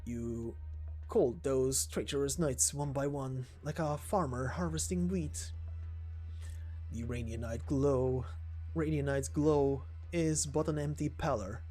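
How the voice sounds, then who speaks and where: A man voicing a long-lived, menacing assassin from a game story, speaking deliberately and formally with ominous pauses. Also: medium pitch, dark tone, soft background music. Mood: reverent menace. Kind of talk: dramatic game story reading.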